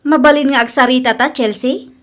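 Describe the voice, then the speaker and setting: A woman plays a young Ilocano woman in a comedy drama, the protective, disapproving friend. Her high voice is earnest and fretting. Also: steady tone, fairly quick, a bit bright. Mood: urgent. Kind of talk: audio comedy-drama acting.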